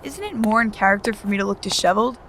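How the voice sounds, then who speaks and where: A woman's voice: mid-range voice, expressive, very fast, fairly bright tone, a little hiss or hum. A woman playing an exasperated, practical seventeen-year-old daughter in an audio drama, dry and impatient. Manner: dry, impatient, matter-of-fact.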